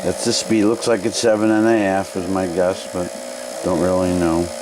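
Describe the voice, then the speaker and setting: A man's voice: sits low, level tone, normal speed, music in the background, low-quality recording. A man casually demonstrating old equipment, thinking aloud in a tentative, unscripted way as he goes. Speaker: demonstrator of vintage video equipment.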